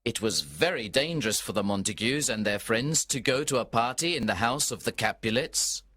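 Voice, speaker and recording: A man narrating a storybook for learners from a script, in a measured, deliberate way. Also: medium pitch, lively intonation, sharp tone.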